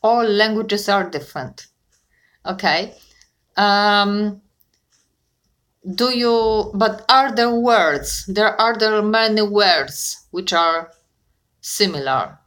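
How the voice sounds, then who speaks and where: Slow, encouraging woman. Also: medium pitch. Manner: slow, encouraging, asks questions and corrects gently. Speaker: conversation partner. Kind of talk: casual conversation practice.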